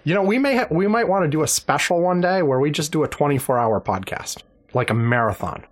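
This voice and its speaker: Podcast host man with a lively voice. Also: fairly high pitch, a little fast. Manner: dry joking, frequent "yeah" and "anyway". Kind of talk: casual podcast chat.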